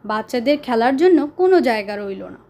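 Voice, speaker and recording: A woman narrating a children's story as a storyteller, deliberate and pausing for dramatic effect. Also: a little high, very animated, warm tone, lo-fi sound.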